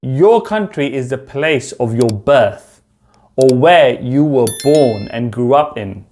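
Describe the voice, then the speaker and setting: A man with a London accent teaches beginner English in a small room at home. He speaks into a desk webcam and slows right down for each example sentence. His pitch sits in the middle of the range. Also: animated, darkish tone, faint music behind. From United Kingdom. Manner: slow, deliberate example sentences. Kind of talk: beginner English lesson to camera.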